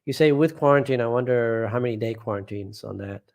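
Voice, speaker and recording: Man hosting a relaxed home live stream, mid-pitched voice, reads out chat comments, meanders and restarts his sentences. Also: brisk. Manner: rambling, often trailing off and restarting. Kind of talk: casual live chat, reading viewer comments aloud.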